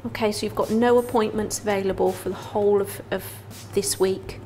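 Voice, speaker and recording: A woman's voice: medium pitch, steady tone, a little fast, mellow, a little hiss or hum, natural voice. A woman in her thirties playing a polite, persistent salon client in a scripted training role-play. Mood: persistent, put out. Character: adult female salon client, polite, persistent, matter-of-fact. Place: small office, indoors. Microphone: clip-on lapel microphone.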